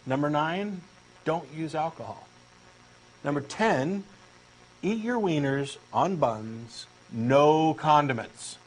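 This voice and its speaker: Brief: Texan man, earnest and pushy, bouncy fairly high delivery, faint hiss behind. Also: lively intonation, slow pace, poor audio. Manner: eager, insistent true believer, earnest and pushy. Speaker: co-host doing a comic dramatic reading. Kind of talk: comic scripted dialogue reading.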